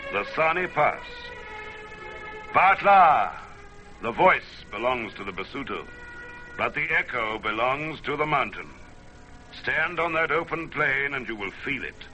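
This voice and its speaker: A man acts out an ancient, watchful mountain spirit in a vintage radio drama. The delivery is solemn, poetic and declamatory, with music clearly behind it. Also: medium pitch, lightly acted, low-quality recording. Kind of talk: old-time radio drama narration.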